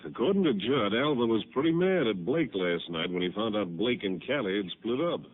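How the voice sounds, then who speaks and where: An easygoing small-town sheriff who talks in a folksy drawl. His voice sits on the low side. The sound comes through a thin, tinny old radio broadcast. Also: expressive, a little fast. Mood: thoughtful. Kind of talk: 1950s radio drama acting.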